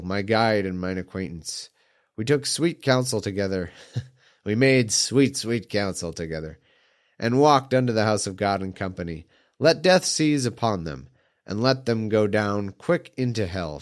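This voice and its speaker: A man with a slightly low, congested voice reads archaic scripture aloud. He keeps undercutting it with dry, irreverent asides and chuckles. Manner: irreverent, mocking, chuckles at the text.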